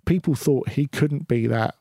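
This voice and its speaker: A man co-hosting a casual football podcast, measured and analytical. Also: mid-range voice, mellow, no echo, clean studio sound. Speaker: podcast co-host.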